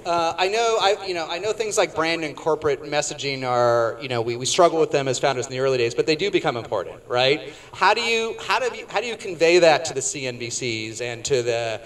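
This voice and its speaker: A man conducting a casual on-stage interview, rephrasing his questions haltingly as he goes. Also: fairly high pitch, a bit bright. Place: on stage at a tech conference.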